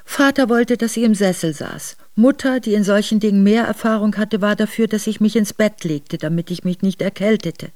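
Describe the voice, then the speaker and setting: An actress playing an aristocratic middle-aged Russian woman quietly recounting her memoirs to her son in a radio drama. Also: mid-range voice, normal speed, hardly any pauses, no echo, lightly acted. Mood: troubled recollection. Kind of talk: intimate radio drama narration.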